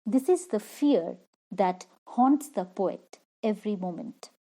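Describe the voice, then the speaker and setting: A woman's voice: medium pitch, expressive, slow pace, mellow. A woman explaining a poem line by line as a literature teacher, repeating and rephrasing each point.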